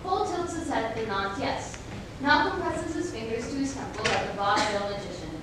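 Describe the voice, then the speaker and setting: A young woman giving dramatic scripted narration on stage with deliberate delivery. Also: animated. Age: young adult. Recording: echoey room, distant mic, noisy rumble.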